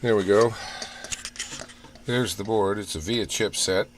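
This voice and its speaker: A man giving casual, off-the-cuff hands-on commentary in a halting way, as if muttering to himself while he inspects things. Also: fairly low pitch. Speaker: hobbyist vlogger showing old computer hardware. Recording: clear background noise with room ambience.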